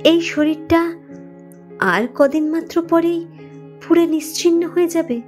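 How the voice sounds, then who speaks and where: A woman reading a scripted story as narrator in an expressive, dramatic manner. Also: extremely high pitch, measured pace. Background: background music.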